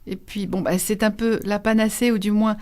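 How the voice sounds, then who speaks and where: A woman hosts a radio interview in a chatty, engaged way. Her voice sits mid-range, and she guides the talk with leading questions and quick affirmations. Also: mid-range voice, steady tone, a little mellow.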